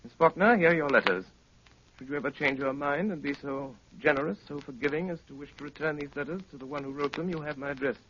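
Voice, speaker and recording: An actor playing a cool, gallant gentleman detective in formal, measured 1930s radio style. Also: fairly high pitch, close to an everyday voice. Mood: firm. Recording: muffled, noisy 1930s radio broadcast.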